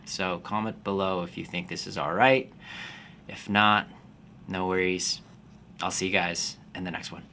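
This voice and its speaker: A man in his forties, born in the Philippines, a fitness business coach talking to camera in a calm, slow, unhurried way. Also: deep voice, creaky, a little hiss or hum. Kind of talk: casual talking-to-camera vlog.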